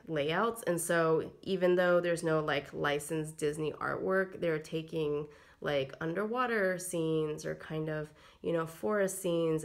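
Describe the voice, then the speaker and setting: A woman getting over a cold, her voice slightly froggy, chatting casually through a planner walkthrough with lots of ums and likes. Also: lowish voice. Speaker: planner vlogger. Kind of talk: casual plan-with-me narration.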